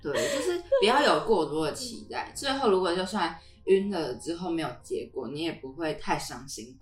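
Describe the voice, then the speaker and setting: A woman's voice: fairly low pitch, sing-song, moderate pace, soft background music. A young adult woman co-hosting a casual podcast, explaining things in an agreeable back-and-forth way. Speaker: podcast co-host. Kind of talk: casual conversational podcast chat. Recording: roomy echo on the voice.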